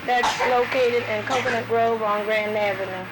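A woman's voice: on the high side, steady tone, moderate pace. Hesitant woman interviewee over tape hiss. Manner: hesitant, many fillers. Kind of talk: casual interview answers. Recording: noisy old field tape.

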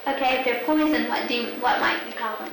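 A woman interviewer leads with patient, coaxing questions, her voice a bit high. She sits back from the mic in a very echoey space, with steady noise underneath. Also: brisk, vocal fry. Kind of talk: prompting word questions. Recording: echoey, distant, noisy recording.

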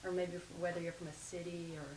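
A woman's voice: on the low side, level tone, quick pace, a little mellow. A woman from Mississippi with a Southern US accent, asking questions in a casual interview. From Mississippi, United States. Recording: roomy sound with faint hiss.

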